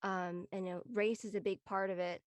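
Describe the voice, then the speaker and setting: A woman's voice: medium pitch, level tone, brisk, dry recording. A woman from Southern California, chatting casually as a cast member. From Southern California, USA. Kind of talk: casual chat.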